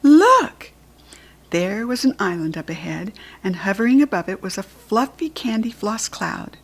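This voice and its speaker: A woman with an American accent reading a children's book aloud in a clear, expressive voice. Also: medium pitch, normal speed.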